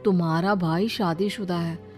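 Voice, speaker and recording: A woman's voice: lowish voice, steady tone, unhurried, a little mellow, faint music behind, close to an everyday voice. A woman playing a hesitant young woman in a cartoon family story, reluctant and protesting.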